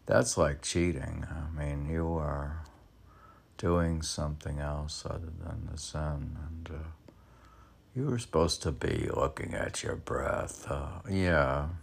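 A man reads poetry aloud casually, rambling into his own commentary and musing haltingly, as if arguing with himself. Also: very deep voice, creaky, husky. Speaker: poet reading own poems aloud.

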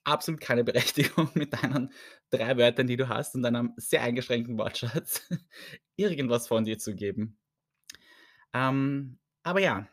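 A man's voice: medium pitch, moderate pace, no room sound, clean studio sound. A man with an Austrian accent hosting a solo podcast, chatty and self-mocking, laughing often as he rambles. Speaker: podcast host. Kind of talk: casual solo podcast chat.

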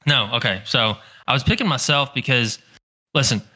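A young man co-hosting a casual podcast chat, talking in a monotone, blunt way with a crisp, soothing voice and frequent swearing. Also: medium pitch, normal speed, poor audio. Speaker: podcast co-host.

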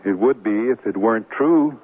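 An actor playing a wry, mildly sheepish newlywed husband in an old-time radio comedy, bantering. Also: male voice, on the low side, moderate pace, slightly rough, narrow, tinny audio. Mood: wry, placating. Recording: thin, hissy vintage radio recording.

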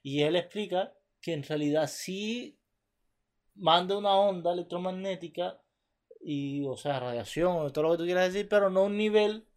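A man's voice: high voice, moderate pace. A man hosting a podcast in casual chat, teasing and talking over his co-host.

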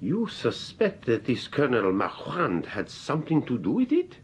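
A curt, businesslike accomplice in a vintage radio play. His pitch swings widely. A faint rumble sits behind him. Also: wildly swooping pitch, moderate pace. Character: stern male associate pressing for the spectacles. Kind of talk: 1940s radio acting.

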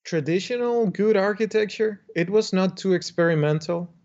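A man's voice: high voice, normal speed, darkish tone. A young man from the Dominican Republic, an architect guest telling his story in a chatty way with restarts. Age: young adult. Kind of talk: interview conversation. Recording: muffled lo-fi remote audio.

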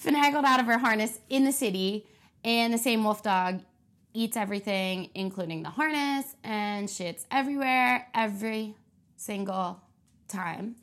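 A young woman hosting a casual podcast from a kitchen, ranting and cursing with excitable energy. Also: on the high side, normal speed. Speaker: podcast host. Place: kitchen at home.

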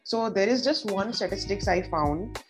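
A woman's voice: medium pitch, expressive, normal speed, music in the background. A woman interviewing a guest, encouraging and full of short acknowledgements. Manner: encouraging, many short backchannels. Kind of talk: casual conversational interview.

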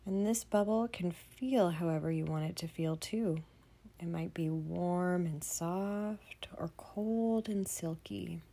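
A woman leading a children's bedtime meditation in a soothing, gentle manner, often dropping to a breathy near-whisper. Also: fairly low pitch, slow pace. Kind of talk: guided bedtime meditation for kids.

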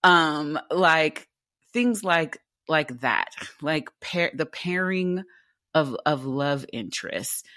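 Casting note: woman, 20s, very low alto, candid and animated interview guest, dry close mic. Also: no room sound. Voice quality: deep alto voice. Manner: candid, animated, with quick rhetorical questions. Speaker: interview guest, theatre actor. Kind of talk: casual interview conversation.

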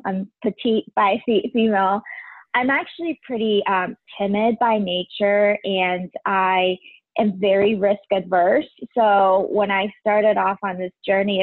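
A woman in her forties from Taiwan, a podcast guest, talking in a soft, casual way with frequent ums and light laughs. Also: medium pitch, no echo. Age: 40s. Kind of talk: casual interview chat. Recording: tinny phone-like line, dry.

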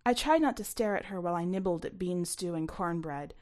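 A woman's voice: lowish voice, brisk, darkish tone. A woman reading her own short story aloud as scripted first-person narration.

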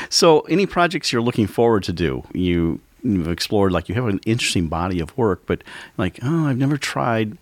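Older male host, very deep voice, dry studio sound, easygoing interviewer. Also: big pitch swings, normal speed, long pauses, no echo. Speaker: radio show host. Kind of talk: interview questions.

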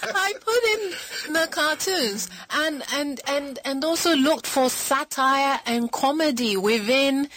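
A middle-aged woman, born in Britain, talking as an author guest on a radio talk show, telling her story in a lively way with frequent laughter. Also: sits high, slow pace, hardly any pauses, dry recording.

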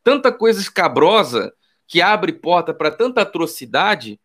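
Man, Carioca accent, political commentator, emphatic, slangy, slightly high pitch. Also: very animated, unhurried, fairly bright tone. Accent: Carioca Brazilian Portuguese. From Rio de Janeiro, Brazil. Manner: emphatic, repeats key phrases, slangy. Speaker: political YouTuber. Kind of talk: casual political commentary with his wife.

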